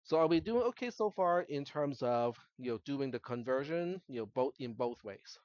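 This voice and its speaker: A man's voice: mid-range voice, lively intonation, moderate pace, darkish tone, no room sound, dull audio. A man who is a native Cantonese speaker lecturing to a class as an instructor, explaining step by step and often checking in with short tag questions. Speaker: college instructor. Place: classroom, screen-recorded lecture.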